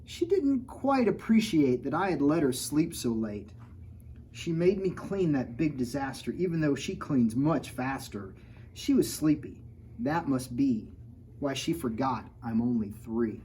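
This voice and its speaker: A man's voice: medium pitch, big pitch swings, unhurried, warm tone, slight background noise. A man reading the rhyming picture-book part of an innocent, self-justifying three-year-old girl in a sing-song cadence. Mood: innocent, pleased.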